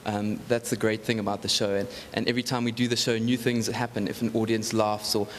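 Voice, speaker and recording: A man, an actor, answering interview questions thoughtfully, pausing often and mixing in Afrikaans words. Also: fairly low pitch, flat delivery, long reverb tail, slight background noise.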